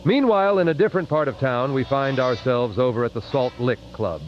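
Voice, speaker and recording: A man's voice: medium pitch, lively intonation, a little fast. Male narrator of a vintage-style radio drama. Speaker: host and narrator. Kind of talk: theatrical old-time radio narration. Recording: muffled old-radio sound with music.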